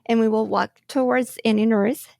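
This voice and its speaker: A woman's voice: a little high, fairly even intonation, normal speed, gravelly, a little mellow, no room sound, clean studio sound. A young woman from Mexico with a Mexican accent, chatting cheerfully on a podcast and laughing often. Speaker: medical photographer. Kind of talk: casual podcast chat.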